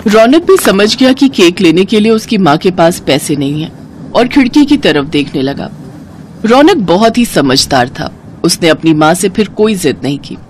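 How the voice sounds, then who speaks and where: A woman narrating a scripted moral story in an expressive storytelling manner. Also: lowish voice, normal speed, slight background noise.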